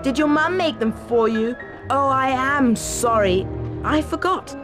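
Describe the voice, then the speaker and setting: A sneering British schoolboy bully in a fantasy game. The high voice drawls mockingly over loud music. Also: female voice, a little slow. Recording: prominent music behind.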